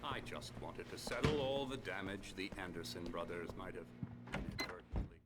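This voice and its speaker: Urbane, expressive male doctor. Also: low voice, very noisy. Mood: smooth, ingratiating. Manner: urbane, measured, ingratiating. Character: smooth-talking, condescending male clinic doctor. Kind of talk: dramatic game dialogue.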